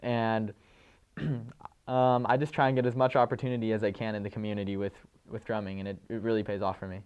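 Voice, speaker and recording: A teenage high school drummer answering interview questions in a polite, steady, slightly formal way, clearing his throat now and then. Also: fairly quick, highs cut off. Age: late teens.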